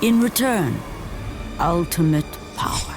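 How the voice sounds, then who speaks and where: A man's voice: high voice, animated, takes it slowly. A man giving grave, dramatic scripted narration for a video game. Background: music.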